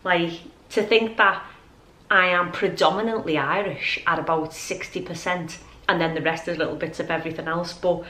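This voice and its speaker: A woman's voice: on the low side, fairly even intonation, moderate pace, bright, forceful tone. A middle-aged woman chatting informally and ramblingly to camera as a lifestyle vlogger. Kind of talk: casual chatty vlog to camera. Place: at home.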